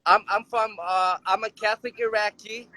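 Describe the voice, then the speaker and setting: A man's voice: sits high, steady tone, normal speed, pushed and bright. A man from Detroit calling into a live stream, speaking earnestly and agreeing emphatically.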